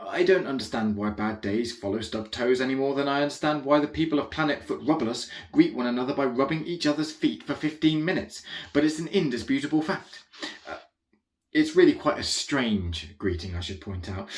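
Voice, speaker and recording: A man's voice: mid-range voice, roomy sound, lightly acted. A man reading a comic children's story as a rambling, easily distracted, naive young alien royal, chatty and full of self-correcting asides. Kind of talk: first-person comic children's audiobook narration.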